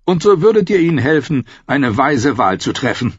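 A man voicing a fawning, nervous lord who flatters a king, in a slightly dull audiobook recording. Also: mid-range voice, normal speed, slightly rough, no room sound, voice pushed up. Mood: wheedling. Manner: obsequious, eager.

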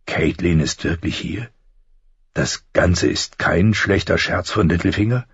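A man's voice: deep voice, a little slow, slightly rough, full character voice. A man voicing a stern, grave noble lord with a questioning manner in an audiobook. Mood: astonished. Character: stern noble lord, bewildered husband, quick to anger. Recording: dull, roomy audiobook recording.

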